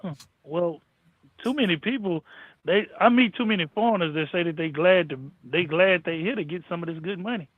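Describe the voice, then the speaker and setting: A man's voice: high voice, a little fast, no room sound. Man, tinny narrow-band audio, dry, American accent, folksy, casual chat. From United States. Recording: tinny phone-like line.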